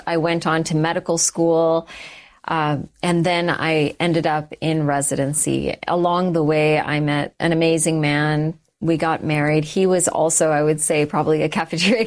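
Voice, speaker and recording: Woman, middle-aged, low voice, interview guest, earnest and reflective, measured phrasing, personal testimony. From Canada, the United States and Sri Lanka.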